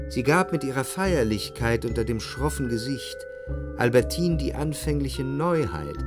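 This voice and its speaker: Male actor as literary radio play narrator: cultured, jealous, inward-looking, articulate, average pitch, music underneath. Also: natural voice. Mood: wistful, brooding. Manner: measured, introspective, articulate.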